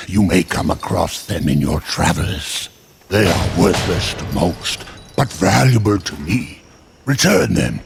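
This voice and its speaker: An actor playing a cryptic, knowing merchant in a video game, deep and gravelly, with a measured, enigmatic delivery. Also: male voice, a little hiss or hum, exaggerated voice. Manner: measured, enigmatic, musing hums. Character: cryptic, knowing old merchant of a lost people. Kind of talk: video game cutscene acting.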